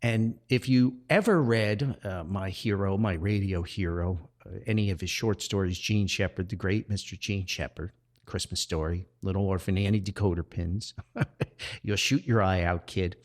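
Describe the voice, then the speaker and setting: A man's voice: sits low, normal speed. A middle-aged man hosting a podcast, telling nostalgic anecdotes in a casual, rambling way. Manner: rambling, chuckles often, nostalgic storytelling. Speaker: podcast host and author.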